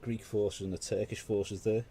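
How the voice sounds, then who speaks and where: A man's voice: fairly low pitch, level tone, moderate pace, warm tone, a little hiss or hum. A British man hosting a paint-along stream, chatting casually with rambling asides. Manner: rambling, conversational, full of asides. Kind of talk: casual chat while painting.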